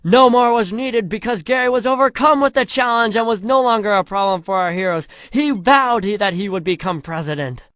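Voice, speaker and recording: A storyteller giving a comedic reading of a crude parody fan story in a mock-epic way, shouting the dialogue and dropping low for the asides. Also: lively intonation, fairly quick, few pauses, no room sound, clean studio sound.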